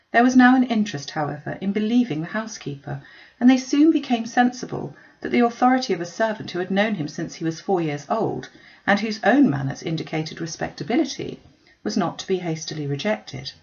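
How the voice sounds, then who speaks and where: A woman reading a classic novel aloud as scripted narration, in a measured, formal storytelling delivery. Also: fairly low pitch, vocal fry, dull audio. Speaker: audiobook reader.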